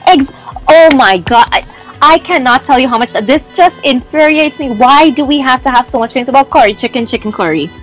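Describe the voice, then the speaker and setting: A woman's voice: sits high, animated, fairly quick, soft background music, rough recording. A woman from Canada with a Canadian English accent, a guest in a casual conversation who speaks passionately and often restarts while searching for words.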